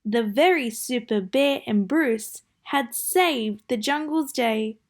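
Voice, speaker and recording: A high-pitched woman narrates a rhyming picture book for children. She takes her time over each phrase, with a deliberate sing-song lilt. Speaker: children's story reader. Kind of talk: read-aloud storybook narration.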